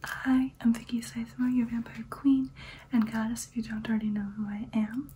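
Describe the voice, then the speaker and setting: A young woman speaking in a breathy, soft ASMR whisper, close to the mic in a small room. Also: fairly high pitch, flat delivery, fairly quick, a little hiss or hum. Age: young adult. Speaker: ASMR creator.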